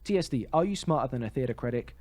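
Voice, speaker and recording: Mellow, opinionated male podcast co-host. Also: mid-range voice, lively intonation, quick pace. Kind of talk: casual discussion and commentary on theatre.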